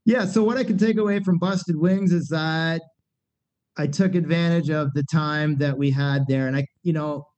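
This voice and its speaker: A man's voice: a little high, fairly even intonation, moderate pace, a little mellow. Nasal man chatting candidly. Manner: candid, rambling, many fillers and restarts. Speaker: guest, punk band singer. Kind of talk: casual podcast conversation. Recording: dull lo-fi podcast recording.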